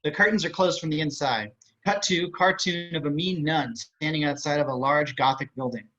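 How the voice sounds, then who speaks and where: A man's voice: fairly high pitch, normal speed, fairly bright tone. Man reading screen directions, slightly muffled. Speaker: reader of stage directions. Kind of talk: scripted narration, reading screen directions aloud. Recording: muffled online call audio.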